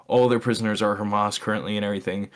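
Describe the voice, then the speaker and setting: A man's voice: on the low side, fairly even intonation, very fast. A young man chatting casually as a podcast co-host, explaining his opinions in a sardonic way and swearing freely.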